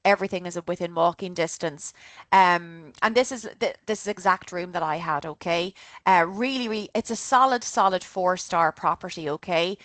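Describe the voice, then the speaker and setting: A woman's voice: fairly low pitch, moderate pace, fairly bright tone, no echo. An Irish woman giving an online travel briefing, chatty and upbeat, often checking in with her listeners. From Ireland. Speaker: travel company presenter. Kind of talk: online group trip briefing.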